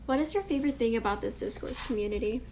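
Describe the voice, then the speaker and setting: Young female student presenter, native Cantonese speaker, rapid scripted delivery with vocal fry. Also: a little high, darkish tone, a little hiss or hum. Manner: scripted, polite questioning.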